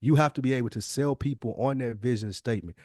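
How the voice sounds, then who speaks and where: A man's voice: medium pitch, quick pace, a little mellow, no room sound, clean studio sound. A man in a conversational podcast discussion, explaining emphatically and posing rhetorical questions as a co-host.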